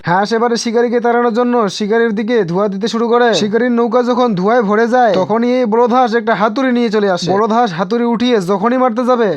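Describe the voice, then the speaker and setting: A man's voice: very high-pitched, fairly even intonation, rapid-fire, hardly any pauses. A man doing slangy, mocking cartoon recap narration, speaking to viewers as guys, in a muffled, noisy recording.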